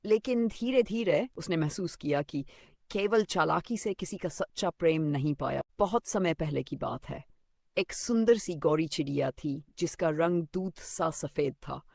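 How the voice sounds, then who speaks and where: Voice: medium pitch, unhurried, creaky, a little mellow, no room sound. A narrator reading a scripted children's story.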